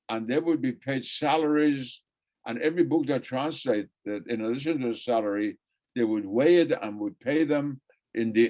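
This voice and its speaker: A man's voice: medium pitch, warm tone. An older man whose first language is Persian gives an academic lecture, wandering between points and repeating phrases for emphasis. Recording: muffled lo-fi recording.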